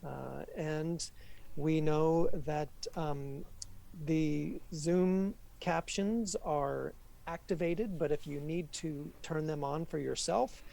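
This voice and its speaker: A man's voice: a little high, gravelly, warm tone, poor audio. A man moderating an online conference session over a video call, welcoming the audience and running logistics with frequent fillers.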